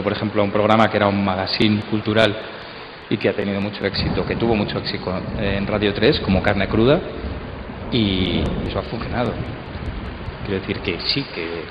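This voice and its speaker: Spanish guy, lowish voice, kinda halting panel talk, boomy hall with noise behind. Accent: Spain. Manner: hesitant, repeats and restarts phrases. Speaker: radio contributor speaking in a congress debate. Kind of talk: unscripted panel discussion remarks. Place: large hall at a radio drama congress. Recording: echoey hall, dull sound, clear background noise.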